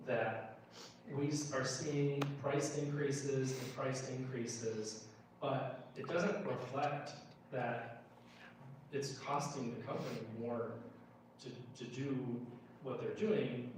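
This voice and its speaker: A man's voice: medium pitch, takes it slowly. Man at a very echoey town hall. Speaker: political candidate at a town hall. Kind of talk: informal town hall remarks. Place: indoor town hall meeting room. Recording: echoey room, distant mic, faint noise.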